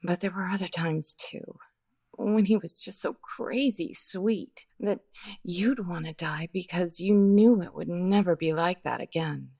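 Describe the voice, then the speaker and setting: An actress as a jaded widow from an old radio detective play. Her voice sits very low and crackles with fry. She measures her words, guarded and bittersweet, hesitating as she goes. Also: darkish tone, heavily acted. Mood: wistful, bruised. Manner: world-weary, hesitant, measured. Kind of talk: 1950s radio detective drama acting.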